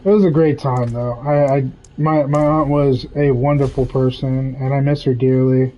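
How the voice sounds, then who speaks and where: A man's voice: medium pitch, little pitch movement, moderate pace, mellow, muffled recording. A man chatting candidly while streaming a video game, rambling through personal stories with laughs and the odd swear. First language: English. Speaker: gaming streamer. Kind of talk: casual chat while gaming. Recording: game sounds behind the voice.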